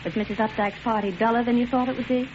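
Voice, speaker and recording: An actress playing a dutiful, polite and earnest nurse in 1940s radio acting style. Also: female voice, on the high side, fairly even intonation, fast, lightly acted. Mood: polite, curious. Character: dutiful female nurse, alert, polite, easily shocked. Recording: muffled, sizzling old radio broadcast noise.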